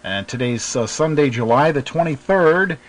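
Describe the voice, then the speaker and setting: A man's voice: a little high, expressive, moderate pace. A man hosting a live internet radio show in a casual, hesitant, informal way.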